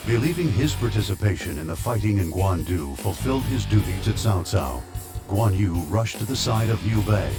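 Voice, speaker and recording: A male narrator with a fairly low voice delivers scripted narration for a historical war game. Loud music with plucked strings runs beneath him throughout. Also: big pitch swings, normal speed.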